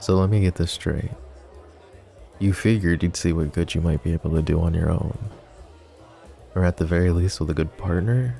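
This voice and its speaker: A male voice actor playing a lovesick, brooding young mafia boss in an ASMR roleplay. He speaks softly and intimately, sometimes dropping to a whisper, with wry, self-mocking humor. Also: deep voice, moderate pace, gravelly, pauses often, soft background music. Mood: flustered, teasing. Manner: soft, intimate, drops to whispers.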